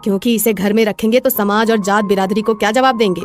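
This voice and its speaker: A woman's voice: mid-range voice, steady tone, fast talker, faint music behind, close to an everyday voice. A woman voicing an anxious, suspicious middle-aged mother-in-law in a dramatic moral story. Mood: thoughtful.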